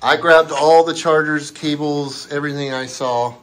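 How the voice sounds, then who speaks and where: A man's voice: on the high side, steady tone, moderate pace. A man with an American accent chatting casually to viewers as he hosts a travel vlog. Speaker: vlogger and host. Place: in and around a car on a road trip. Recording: noisy car and outdoor road ambience.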